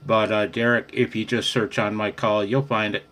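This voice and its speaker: A man's voice: lowish voice, flat delivery, normal speed, a bit bright. An American man chatting casually and in a friendly way as an amateur radio operator, recorded on a phone. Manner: friendly, chuckling, says "man" often. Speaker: amateur radio operator filming for his channel. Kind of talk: casual ham radio conversation. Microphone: handheld phone.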